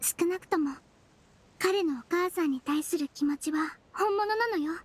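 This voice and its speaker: A woman voicing a small, composed, kindly nonhuman head nurse in an anime-style game, gentle and matter-of-fact. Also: very high voice, unhurried. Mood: reassuring.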